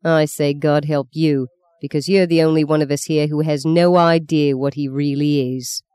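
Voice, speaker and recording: A man's voice: fairly high pitch, little pitch movement, normal speed, darkish tone, clean tone, dry recording, close to an everyday voice. Male reader playing bitter young guy, scornful, hurt, dry audiobook sound. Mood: bitter mockery. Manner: mocking, embittered. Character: bitter, scornful, wounded young man defying his father.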